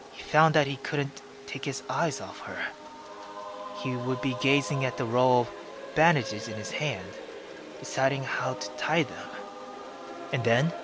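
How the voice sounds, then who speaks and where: Male YouTuber, unhurried read-aloud narration over clear background music. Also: mid-range voice. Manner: slow, steady storytelling with self-corrections. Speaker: gaming YouTuber. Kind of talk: reading a visual novel aloud. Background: game music.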